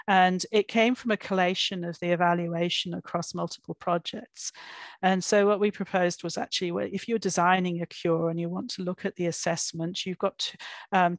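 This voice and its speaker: A woman delivers an online academic keynote in a slightly low voice. She speaks thoughtfully, pausing on fillers and restarting phrases as she explains each point. The sound is dry and close, from a computer microphone. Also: no room sound.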